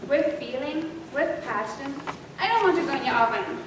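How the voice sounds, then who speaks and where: A woman's voice: sits high, animated, moderate pace. A teenage female performer playing a timid, pleading seven-year-old schoolgirl in a comic stage reading, meek and plaintive. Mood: timid, strained. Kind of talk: school readers theater comedy performance. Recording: echoey room, distant mic, loud noise.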